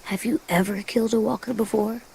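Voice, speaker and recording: A woman's voice: on the high side, fairly even intonation, a little fast, vocal fry, a little mellow, rough recording. A woman playing a small, troubled young boy in a dramatic video game, blunt and sincere. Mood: grave confession. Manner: blunt, earnest, childlike. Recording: music under the voice.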